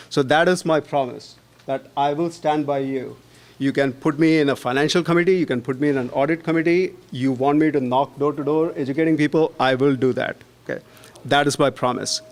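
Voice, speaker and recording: A man giving a persuasive candidate speech at a homeowners' meeting, earnestly making his case and often checking in with a quick "right?". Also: on the high side. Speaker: board candidate.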